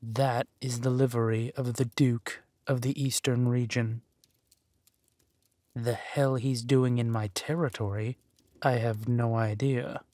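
A man acts out a ruthless warlord duke in a fantasy audio drama. He takes his time over every phrase with possessive menace, in a mid-pitched voice, recorded close and dry. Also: flat delivery, warm tone, dry recording, lightly acted. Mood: cold, irritated. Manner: menacing, slow, deliberate phrasing. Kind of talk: dramatic villain roleplay audio.

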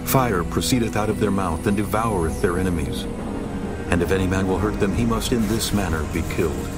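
A man narrating a dramatized scripture reading in a steady, measured way. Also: lowish voice. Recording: narration over background music.

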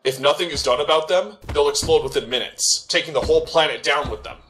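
A man's voice: a little high, quick pace, fairly bright tone, a little hiss or hum, natural voice, poor audio. A man voicing a serious, authoritative older military captain giving mission orders in a video game. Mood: urgent. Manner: authoritative, urgent. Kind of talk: scripted game mission briefing.

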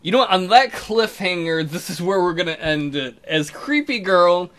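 High-pitched man giving teasing commentary. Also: expressive, moderate pace, fairly bright tone. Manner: teasing, sarcastic. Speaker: let's play host. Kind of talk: wry banter and commentary.

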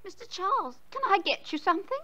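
Very high-voiced timid housemaid. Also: female voice, very animated, fast talker, bright, forceful tone, full character voice. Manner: meek, respectful, fluttery. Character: deferential, earnest, timid housemaid. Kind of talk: radio drama acting.

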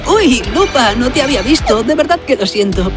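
A woman's voice: on the high side, lively intonation, fairly quick, lightly acted. A woman voicing a clumsy, hesitant young animal hero in a cartoon, flustered and apologetic. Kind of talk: cartoon dub acting. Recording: loud music over the voice.

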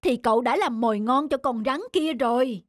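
Woman voicing a sly, smooth-talking cartoon hawk. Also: high voice, moderate pace, no echo, clean studio sound, lightly acted. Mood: reassuring. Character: sly hawk villain feigning kindly friendship. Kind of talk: animated children's folktale acting.

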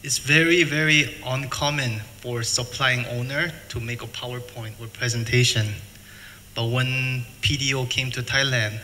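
A middle-aged man from the United States presenting at a seminar, speaking plain, halting English and repeating words. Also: mid-range voice, fairly even intonation, bright, forceful tone. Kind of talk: conference presentation. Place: hotel function room. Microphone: table mic, filmed from afar. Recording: reverberant hall, faint noise.

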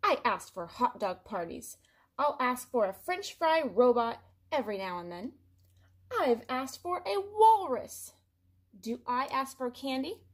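Woman playing a male storybook pigeon, indignant, whiny, exasperated, slow drawn-out complaints, very animated. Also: high-pitched, full character voice. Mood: exasperated, pleading.

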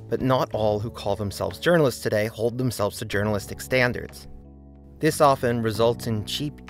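A man's voice: fairly low pitch, fairly bright tone. A man narrating a scripted video essay in an earnest, critical tone.

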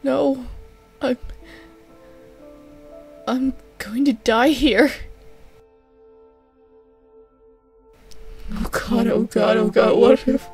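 A panicked young man, played by a woman, hurt and begging in an amateur comic dub. The voice is high, slow and halting, stammering desperately over faint music. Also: fairly even intonation, creaky, darkish tone, husky, full character voice. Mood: panicked dread. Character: panicked, anxious young man, wounded and pleading.

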